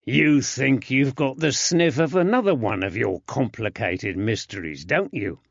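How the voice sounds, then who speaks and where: Grumpy older constable, blunt and testy, guy reading him, lively up and down, flat dull sound. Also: mid-range voice, unhurried, vocal fry, husky, dry recording, full character voice. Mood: grumbling. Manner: blunt, grumbling. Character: gruff grey-browed older male constable, testy. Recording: dull lo-fi, dry.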